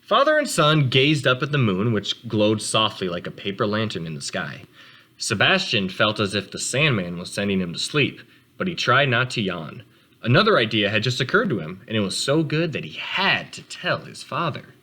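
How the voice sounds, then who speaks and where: A man reading a storybook aloud for children, expressive, speaking to them directly with casual asides. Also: fairly low pitch, moderate pace. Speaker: read-aloud host for children.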